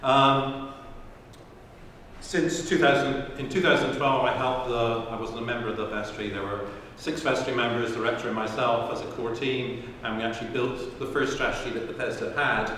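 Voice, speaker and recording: A man's voice: mid-range voice, fairly even intonation, moderate pace, a bit bright. A man leading a workshop talks in a chatty, self-deprecating way. His mid-range voice comes from a distance in a very echoey room. A faint hiss sits underneath. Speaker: workshop leader. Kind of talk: workshop introduction. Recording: echoey room from far off with noise.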